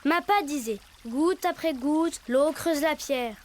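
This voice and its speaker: A woman's voice: high voice, slow, darkish tone, slight background noise. A woman voicing a young animal adventurer, an eager and resourceful leader, in a dubbed children's cartoon with music underneath. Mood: helpful.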